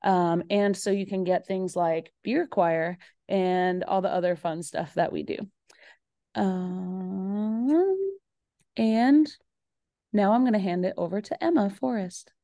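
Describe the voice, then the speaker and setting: Unhurried woman, mellow dry voice. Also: medium pitch, no room sound. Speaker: organization host making announcements. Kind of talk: casual announcements. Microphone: video call.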